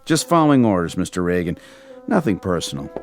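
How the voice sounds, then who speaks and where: An actor in a radio drama playing a matter-of-fact studio stagehand with a casual, businesslike manner. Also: male voice, sits low, very animated, brisk, music in the background.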